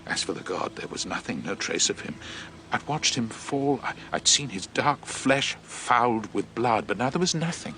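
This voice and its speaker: An actor playing a shaken eyewitness messenger from ancient Greece in a radio drama, telling of horror in an intense, deliberate, theatrical monologue. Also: male voice, mid-range voice, lively intonation, bright, forceful tone, a little hiss or hum. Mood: hushed, stunned.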